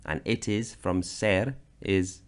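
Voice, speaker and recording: A man from Britain narrating a slideshow grammar lesson, explaining slowly and deliberately. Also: sits low, steady tone, slight background noise. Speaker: language teacher.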